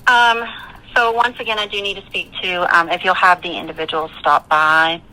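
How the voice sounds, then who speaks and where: A woman with a low, forceful voice speaks over a thin telephone line as a manager. She is formal and polite yet firm, with halting, repetitive phrasing. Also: fairly even intonation. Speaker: campground management staff. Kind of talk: formal customer phone conversation.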